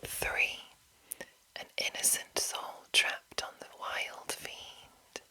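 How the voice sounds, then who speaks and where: A woman speaking in an unvoiced whisper, hushed and deliberate, as an ASMR host reading aloud from a game book. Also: rough recording. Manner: hushed, slow, deliberate enunciation.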